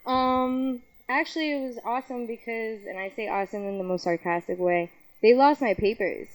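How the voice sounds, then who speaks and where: A woman's voice: a little high, moderate pace, rough recording. A young woman from Colombia raised in New York, talking candidly in a casual interview, often laughing and swearing freely. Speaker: interview guest, music figure.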